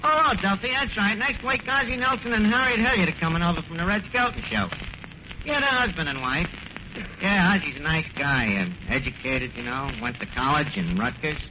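A man's voice: high voice, lively intonation, moderate pace. A man acting a brash, slangy tavern manager who mangles his words in an old radio comedy. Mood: breezy. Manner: malapropisms and bad grammar, bluffing. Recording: noisy, muffled old radio recording.

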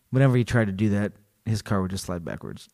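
A man co-hosting a podcast, chatting casually and reading a story aloud, animated and joking. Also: fairly low pitch. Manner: animated, joking, laughs often. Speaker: podcast co-host.

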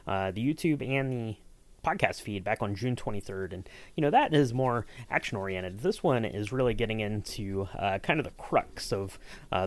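A man's voice: lowish voice, no room sound. A man hosting a podcast in a casual, enthusiastic interview chat. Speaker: podcast host.

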